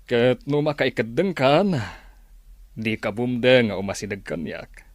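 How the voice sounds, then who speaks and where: Man acting a measured, authoritative father and boss. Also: medium pitch, expressive, no room sound, clean studio sound, natural voice. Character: indulgent middle-aged father and company boss, measured and authoritative. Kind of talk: Ilocano radio drama acting.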